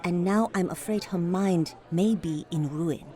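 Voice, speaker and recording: A woman's voice: on the low side, unhurried, mellow, lightly acted. A woman playing a poised, imperious lady in a noir audio drama, speaking formally with cool, clipped precision. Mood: composed, insistent. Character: poised, imperious, wealthy daughter of a doctor.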